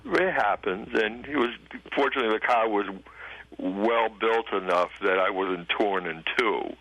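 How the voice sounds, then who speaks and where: A man's voice: fairly low pitch, measured pace, pushed and bright, breathy edge, no echo, rough recording. A man calling in to a radio show, sharing earnest, firm opinions. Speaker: caller. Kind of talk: call-in radio chat.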